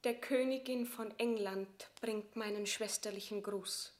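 A woman's voice: medium pitch, fairly even intonation, a little slow, lightly acted. An actress playing a proud, remorseful queen resigned to death, speaking classical verse in a solemn, fervent radio drama style. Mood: resigned, gentle.

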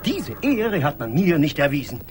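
High-pitched, pompous, scolding bureaucrat. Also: male voice, sing-song, moderate pace, over music. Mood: offended, sarcastic. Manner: censorious, pompous, emphatic. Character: pedantic, self-righteous bureaucrat, a scolding male friend. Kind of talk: German radio play acting.

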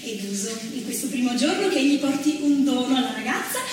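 Stage narrator, woman: high voice, emphatic declamation, distant and very echoey. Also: lively intonation, a little fast, background noise. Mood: prim, instructive. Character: emphatic female narrator of Italian women's social history. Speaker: stage actress. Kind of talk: theatrical scripted narration. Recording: echoey hall, distant mic, music behind.

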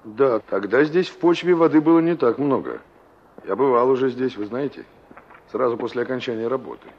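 An actor playing a reflective, nostalgic young engineer in a Soviet radio drama, probing and expressive in his delivery. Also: medium pitch, fairly quick, natural voice. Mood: curious. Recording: muffled, noisy old radio drama recording.

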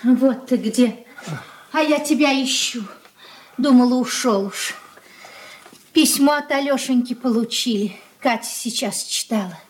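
A simple-hearted elderly merchant's wife played by a woman in a Soviet radio drama. Her voice is fairly high. She fusses caringly in plain, homely, colloquial phrases. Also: measured pace, natural voice. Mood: fussing concern. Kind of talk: Soviet radio play acting.

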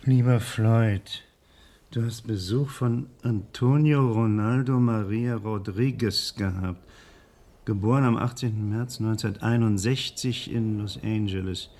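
An actor playing a formal, theatrical man who speaks deliberately in a radio crime drama. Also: lowish voice, a little mellow. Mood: reading aloud. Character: courtly, theatrical male actor playing detective.

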